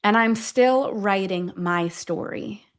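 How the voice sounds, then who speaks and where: A woman leading a guided affirmation meditation, speaking deliberately in short phrases with long pauses between them. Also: medium pitch. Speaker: guide of a self-affirmation tapping session.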